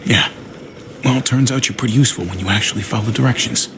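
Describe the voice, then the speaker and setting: An actor playing a weary, protective survivor in a video game drama, talking in a low-key, conversational way. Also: male voice, quick pace, fairly bright tone, a little hiss or hum, natural voice. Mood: focused, reassuring. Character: Javi, weary protective man surviving a zombie apocalypse.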